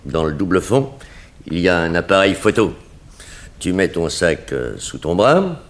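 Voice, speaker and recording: An actor playing a calm, coercive and sardonic blackmailer in a 1960s French radio crime drama. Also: male voice, low-pitched, a little slow. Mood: brisk, businesslike. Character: menacing male blackmailer, smooth crook in haute couture.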